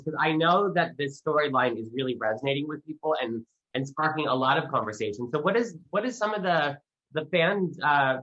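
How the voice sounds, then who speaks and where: Man, interviewer, conversational questioning, bright forceful tone, mid pitch, slightly dull lo-fi audio.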